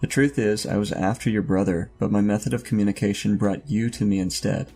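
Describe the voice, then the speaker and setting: An actor playing an enigmatic, commanding older robed man in an audio drama, with a gravelly voice and a solemn, cryptic, measured delivery. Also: fairly low pitch, mellow, soft background music. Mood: stern, solemn.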